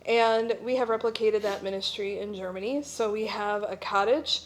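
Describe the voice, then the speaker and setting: A middle-aged woman gives an informal talk as a missionary presenter. She explains things conversationally, in a mid-pitched voice. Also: steady tone, moderate pace, slight background noise, low-quality recording. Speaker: missionary speaker at a church breakout session.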